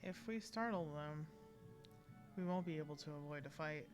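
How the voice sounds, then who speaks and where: Playful, lower-pitched woman game streamer. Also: moderate pace, soft background music. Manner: playful, chatty. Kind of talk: casual live gameplay commentary, reading dialogue choices aloud.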